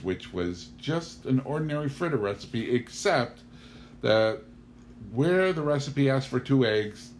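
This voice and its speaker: A man with a fairly low voice reads a humorous memoir aloud. The delivery is scripted audiobook narration, and the recording carries noticeable noise. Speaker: audiobook reader.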